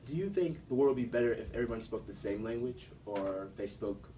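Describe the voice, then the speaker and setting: A man's voice: mid-range voice, lively intonation, warm tone. Young male interviewer, distant mic. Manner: casual, quick questions with filler "like". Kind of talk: casual interview questions. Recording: distant mic, faint background noise.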